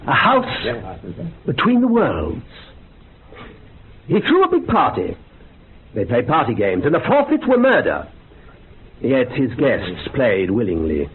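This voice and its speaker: A man's voice: mid-range voice, wildly swooping pitch, unhurried, long pauses. An actor in a radio horror drama playing an eager, theatrical male ghost investigator who relishes grisly detail. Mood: macabre relish. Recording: thin phone-like radio recording, faint noise.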